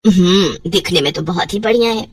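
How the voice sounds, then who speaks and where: A woman's voice: lowish voice, moderate pace, husky, far from the mic. A woman voicing an exasperated middle-aged mother who grumbles and nags in a Hindi cartoon story. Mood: calm, practical. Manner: grumbling, complaining.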